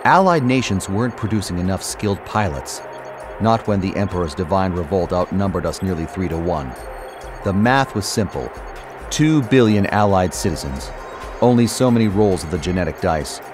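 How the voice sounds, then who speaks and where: An actor playing a brooding cyborg fighter pilot, narrating his war in a solemn, measured, intense way. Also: sits low, expressive, music in the background. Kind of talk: dramatic scripted narration, audio drama.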